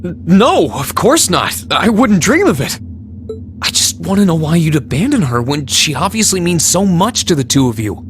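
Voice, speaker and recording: A man's voice: fairly high pitch, very animated, normal speed, a little hiss or hum. An actor playing a polite, loyal and resolute young male student in a video game, speaking sincerely. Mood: earnest, firm. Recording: music behind the voice.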